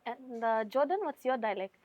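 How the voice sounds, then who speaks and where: A woman from Fiji with a Fijian English accent, hosting a casual interview with a band and asking questions with soft fillers. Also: fairly high pitch, normal speed, lo-fi sound. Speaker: podcast host.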